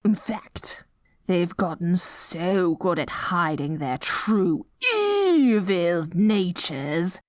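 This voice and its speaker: A woman's voice: on the low side, sing-song, extremely slow, no room sound, clean studio sound, exaggerated voice. A woman playing a dorky, melodramatic young evil queen in an audio roleplay, stammering when flustered and grandiose in her villainy. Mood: gleefully scheming. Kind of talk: scripted ASMR audio roleplay.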